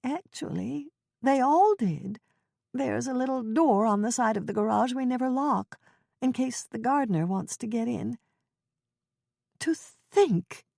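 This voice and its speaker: A naive older woman in her seventies, voiced by a woman reading the book, frets in a high, wavering voice. She speaks slowly and anxiously, her pitch swinging up and down. The sound is close and dry. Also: animated, vocal fry, mellow, dry recording, full character voice. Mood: flustered. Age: 70s. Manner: anxious, flustered, quavering.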